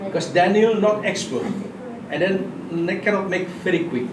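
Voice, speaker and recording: High-voiced Indonesian-accented man in an echoey room. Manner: halting non-native English in short simple phrases. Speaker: puppet workshop guide and demonstrator. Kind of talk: informal guided craft demonstration to visitors. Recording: echoey room, distant mic, clear background noise.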